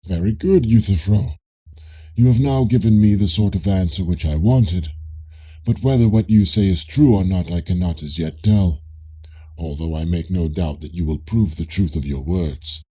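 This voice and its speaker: A man as a low, husky Greek philosopher. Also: warm tone, rough recording. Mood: patient, probing. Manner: measured, questioning. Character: probing, politely ironic Greek philosopher. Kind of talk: dramatised audiobook reading of philosophical dialogue.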